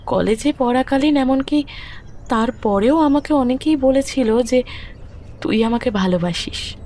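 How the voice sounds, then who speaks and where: A woman with a Kolkata Bengali accent playing a grieving, resolute young widowed mother in an audio story, speaking firmly and deliberately. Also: high-pitched, dark tone. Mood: hurt, accusing. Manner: solemn, deliberate, firm. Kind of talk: romantic audio drama dialogue. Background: background music.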